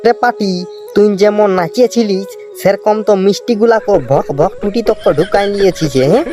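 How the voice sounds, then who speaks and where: An actor with a rural Purulia Bengali accent voicing a brash, stubborn village ice-cream hawker in a comedy cartoon, teasing and repeating singsong street calls. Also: male voice, high-pitched, moderate pace, dark tone, lightly acted. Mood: teasing, indignant. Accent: rural Purulia, West Bengal. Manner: repetitive singsong street-vendor calls, scolding banter. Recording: music behind the voice.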